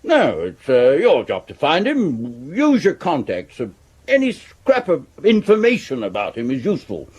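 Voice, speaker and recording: An actor playing a brusque, pompous Victorian police commissioner with an upper-class English accent in a radio drama. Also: male voice, a little high, wildly swooping pitch, moderate pace, a little mellow, slightly rough, full character voice. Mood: brisk command. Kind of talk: 1970s radio drama acting.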